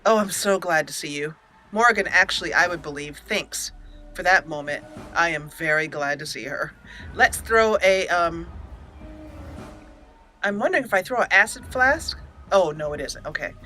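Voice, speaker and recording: A woman hosting a gaming channel, giving expressive roleplay commentary and storytelling while talking to the game characters. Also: fairly low pitch, normal speed, faint music behind.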